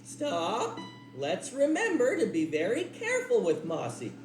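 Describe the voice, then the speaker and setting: A woman's voice: on the high side, huge pitch swings, a little slow. A woman voicing a careful, caring young animal friend in a children's cartoon. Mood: earnest. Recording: roomy sound with faint music.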